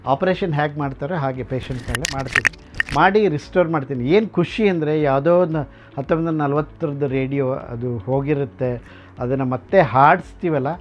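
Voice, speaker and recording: A man giving an informal guided explanation as a collector and restorer, haltingly, with pauses and English words mixed in. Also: on the high side, slight background noise. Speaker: vintage radio collector and restorer. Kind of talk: informal explanatory museum tour to camera. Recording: dull, lo-fi sound with music behind. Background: background music.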